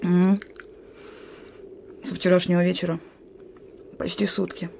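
An actress playing a young, wary partisan sentry in a radio drama, gruff but soft-hearted, with a brusque colloquial manner. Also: female voice, lowish voice, level tone, a little slow, a little hiss or hum. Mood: subdued, weary. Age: young adult.

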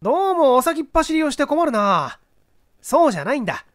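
A man voicing an excitable, insistent detective explaining a theory in an audiobook mystery. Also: very high-pitched, a little fast, no echo, studio quality, voice pushed up. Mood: insistent, exasperated. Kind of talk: literary audiobook character dialogue.

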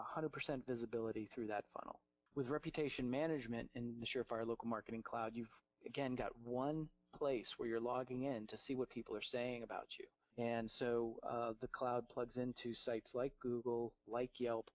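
A man walks through marketing slides in a webinar, his pitch sitting in a middle range. He talks off the cuff and stops often to restart. The sound is dry and close, with no room around it. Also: dry recording, poor audio. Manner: halting, many fillers and restarts. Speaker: marketing presenter.

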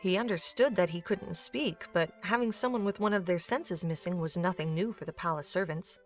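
A woman's voice: on the low side, brisk. A woman reading scripted narration for a fantasy audio drama. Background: music.

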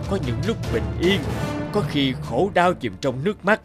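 Man voicing a stern old god, commanding and animated. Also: fairly high pitch, normal speed, music in the background, close to an everyday voice. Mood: angry. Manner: grand, admonishing. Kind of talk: animated fable dialogue.

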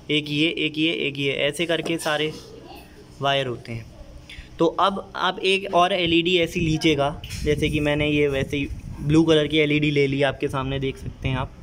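A young man talks viewers through a car wiring job in a friendly, matter-of-fact way, his voice sitting a little high. He is recorded on a handheld phone outdoors beside the car. Faint ambient noise runs underneath. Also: a bit bright. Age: young adult. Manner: chatty step-by-step explaining, addressing viewers as friends. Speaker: DIY car modification vlogger. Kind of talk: casual how-to explanation. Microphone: handheld phone camera.

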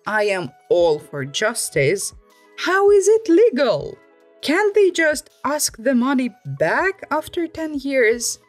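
A woman's voice: high-pitched, sing-song, slow pace, soft background music. A woman reading online stories aloud as a host, in scripted storytime narration.

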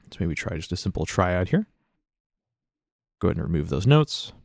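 A man narrating a software tutorial as an instructor, in a casual, conversational, explanatory manner, close to the mic. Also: low-pitched, big pitch swings, normal speed, gravelly, no room sound. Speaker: music production tutorial instructor.

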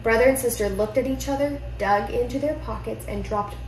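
A young woman reads a children's picture book aloud with expressive storytelling in a living room. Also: on the high side, fairly quick, slight background noise. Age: young adult. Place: indoor living room at home. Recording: roomy echo, music behind.

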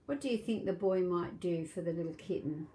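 A woman's voice: on the low side, expressive, fairly quick, dark tone, a little hiss or hum. A middle-aged woman reading aloud to young children as a storytime host, in a simple, questioning, child-directed manner. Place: small domestic room indoors.

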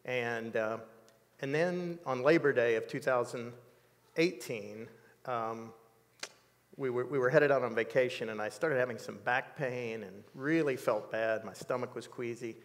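A man in his sixties telling a personal story in an interview, measured and reflective, with many fillers, on a dark studio set. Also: medium pitch, big-room sound. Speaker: interview guest.

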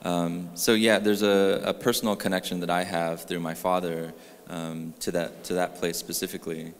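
A man's voice: low-pitched, little pitch movement, normal speed, clear, resonant tone. A man in his thirties from California, earnestly explaining his ideas at a panel talk, holding a mic and restarting sentences. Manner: earnest, gestures while talking, many restarts. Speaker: artist panelist. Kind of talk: panel discussion. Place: gallery room with a live audience. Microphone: handheld mic. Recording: reverberant gallery hall.

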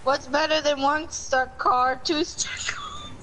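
Very high-pitched, giggly teasing woman. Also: wildly swooping pitch, takes it slowly, bright, forceful tone, slight background noise, rough recording. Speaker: friend joining a game stream. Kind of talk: casual teasing chat. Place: voice chat over a game stream.

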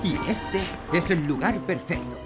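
Friendly cartoon builder boss, Castilian Spanish, reassuring, lively delivery, music bed. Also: male voice, on the high side, unhurried. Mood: upbeat. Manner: upbeat, encouraging, reassuring. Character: cheerful, calm adult male builder and team leader. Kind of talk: animated children's series dubbing.

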